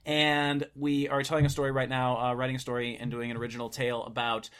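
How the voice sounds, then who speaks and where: A man's voice: mid-range voice, little pitch movement, fast talker, a bit bright, clean tone. A young man hosting a casual podcast chat, rambling with fillers and laughing often. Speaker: podcast host.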